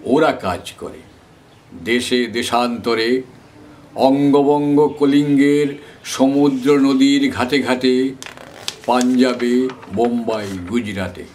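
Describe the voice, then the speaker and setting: A man reciting poetry in a dramatic, measured, declamatory way with deliberate pauses. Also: medium pitch, steady tone, a little mellow. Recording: faint background noise.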